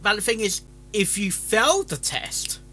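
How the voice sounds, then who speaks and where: Bright, halting man, rambling casually. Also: high-pitched, very animated, faint music behind. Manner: halting, stammering, repeats words. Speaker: host of a fan fiction reading stream.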